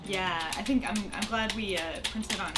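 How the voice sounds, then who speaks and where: A woman with a mid-pitched voice chats casually. She sounds off-mic, with very loud background noise and some clicking around her. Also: moderate pace. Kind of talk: casual chat.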